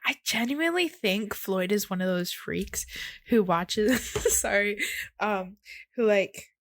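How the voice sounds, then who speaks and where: Female co-host, slow casual delivery, dry recording, joking and teasing. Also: medium pitch, lively intonation, no echo. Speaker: podcast co-host.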